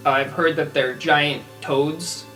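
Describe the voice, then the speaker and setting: Slow-paced man playing a tabletop game. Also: a little high, fairly even intonation, loud music behind the voice. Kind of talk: casual improvised game talk.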